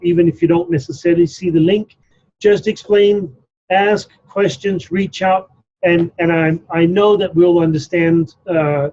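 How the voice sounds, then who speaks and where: High-voiced chef instructor. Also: male voice, a little mellow, dull audio. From Denmark. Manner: chatty, often tags sentences with "right?". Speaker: culinary instructor. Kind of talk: live online class introduction.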